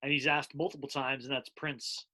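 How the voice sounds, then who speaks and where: A man hosting a podcast, asking eager, rapid-fire questions. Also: medium pitch, flat delivery, bright, forceful tone. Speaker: podcast host. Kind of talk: casual interview chat.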